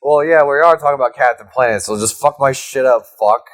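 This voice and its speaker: Playful crude young man on a podcast. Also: medium pitch. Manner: crude, playful, quick bursts of jokes. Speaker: podcast co-host. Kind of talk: casual comedic chat.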